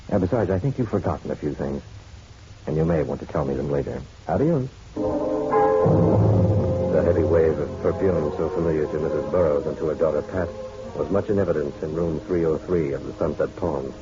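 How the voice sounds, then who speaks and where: A worldly noir private eye with a very low, mellow voice. He is dry, tough and unhurried, as heard in a dull lo-fi radio drama recording. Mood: wry. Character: hardboiled male private detective, dry and worldly. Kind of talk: radio drama acting with noir first-person narration.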